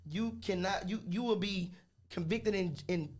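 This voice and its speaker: A man co-hosting a podcast in casual chat, animated and laughing often as he talks. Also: high-pitched, a little slow. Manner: animated, laughing, swearing freely. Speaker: podcast co-host.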